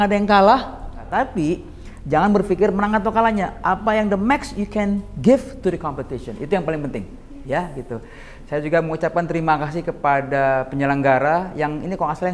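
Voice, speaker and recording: A man's voice: a little high, in a large hall, slight background noise. A man hosting an interview and pageant session, chatty and mixing English phrases into his talk. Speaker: host.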